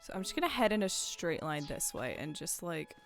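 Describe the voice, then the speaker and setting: A woman's voice: on the low side, sing-song, brisk, faint music behind. A woman hosting a casual game stream, informal and sweary as she thinks aloud while playing.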